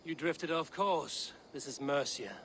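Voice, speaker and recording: An actor playing a stern, resolute warrior leader in a video game, with an authoritative and deliberate delivery. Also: male voice, fairly high pitch, fairly bright tone, a little hiss or hum. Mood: stern questioning. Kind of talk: dramatic video game acting.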